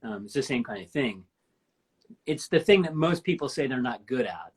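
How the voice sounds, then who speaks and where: A woman in her fifties with a deep voice, hosting a casual live-stream chat and telling stories with enthusiasm. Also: expressive, moderate pace, slightly rough. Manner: enthusiastic, rambling storyteller. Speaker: host. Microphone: live stream from home.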